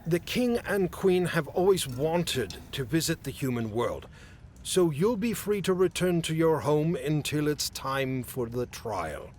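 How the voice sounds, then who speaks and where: A man playing an exasperated haughty fae official, animated. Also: fairly high pitch, unhurried, a little hiss or hum. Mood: stern, formal. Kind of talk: fantasy comedy audio drama acting.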